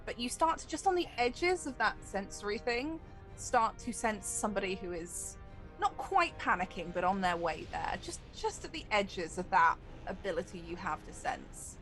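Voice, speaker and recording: A woman's voice: mid-range voice, very animated, moderate pace, a bit bright, poor audio. A woman running a tabletop role-playing game, improvising narration and explaining rules in a conversational way. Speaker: game master. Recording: background music under the voice.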